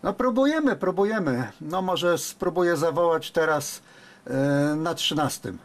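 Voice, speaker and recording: Higher-voiced man making radio calls. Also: animated, a little slow, very noisy. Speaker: amateur radio operator, host. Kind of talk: radio call-outs and casual commentary. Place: indoor room at a radio desk.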